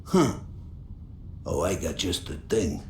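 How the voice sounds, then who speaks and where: An actor playing a jovial, folksy mob armorer in a cinematic game. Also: male voice, deep voice, very animated, slow pace, full character voice. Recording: roomy, loud background noise.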